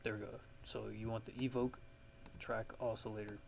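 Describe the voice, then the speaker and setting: A man giving a casual software tutorial, haltingly thinking aloud as he goes. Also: fairly low pitch, level tone. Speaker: music production tutorial host. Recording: clear background noise.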